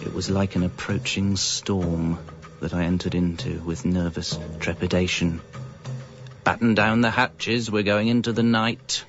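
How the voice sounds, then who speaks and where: An actor playing a wry husband and young father, narrating a comic radio monologue in a deadpan, confessional way. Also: sits low, measured pace, over music. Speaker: narrator and lead character. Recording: muffled, band-limited audio.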